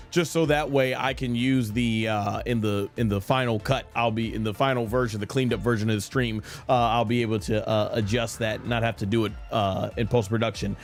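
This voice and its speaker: A man hosting a live game stream, chatting casually and thinking aloud in a rambling way. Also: mid-range voice. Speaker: streamer, host. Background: faint game music.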